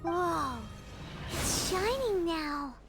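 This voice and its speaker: A woman voicing a tiny, chatty, anxious fairy girl companion in a video game, with a squeaky childlike voice and exclamatory manner. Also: animated, extremely slow, music in the background, full character voice. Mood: amazed. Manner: exclamatory, speaks of herself in third person. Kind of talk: scripted video game voice acting.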